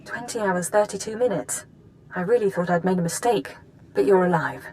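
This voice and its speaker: An actress playing a businesslike intelligence handler in a spy game, briefing in a measured, precise, familiar way. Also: female voice, lowish voice, lively intonation, darkish tone, slight background noise, close to an everyday voice. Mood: relieved, quiet. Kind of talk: video game drama acting.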